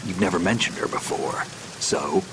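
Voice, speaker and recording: A man's voice: low-pitched, normal speed. An actor playing a tough, terse male soldier on a stealth mission, talking in clipped, matter-of-fact lines in a video game cutscene. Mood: level. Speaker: special operative. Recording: game audio over steady rain noise. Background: rain.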